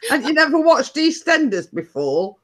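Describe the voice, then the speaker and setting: A woman's voice: sits high, sing-song, normal speed. A middle-aged woman, hosting a casual chat and telling a rambling story with frequent chuckles. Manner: rambling, chuckling, dialect "were" and "me day". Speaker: host.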